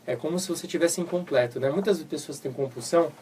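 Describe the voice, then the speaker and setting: A man's voice: a little high, fast, far from the mic, very noisy. A Brazilian man co-hosting a live web radio show, recovering from a flu, explaining with everyday examples. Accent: Brazilian Portuguese. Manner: informal, explains with everyday examples and voiced asides. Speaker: co-host psychologist.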